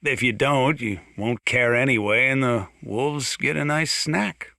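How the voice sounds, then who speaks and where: An actor playing a folksy, sardonic older small-town American sheriff, drawling wry tales and warnings in a video game radio monologue. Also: male voice, medium pitch, unhurried, gravelly, sharp tone, no echo, studio quality. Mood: amused, chatty.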